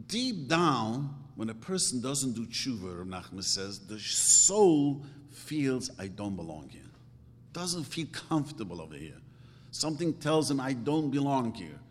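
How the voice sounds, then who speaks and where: Man, preaching. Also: in a large hall. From grew up speaking Yiddish and Hungarian. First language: Yiddish. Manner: emphatic, swings from low asides to loud outbursts. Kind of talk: sermon.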